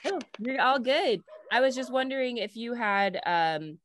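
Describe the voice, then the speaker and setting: A woman's voice: a little high, animated, measured pace, fairly bright tone, slight background noise. A woman moderating an online panel, asking questions in a hesitant way full of fillers and restarts, over a video call. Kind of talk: casual conversational questions.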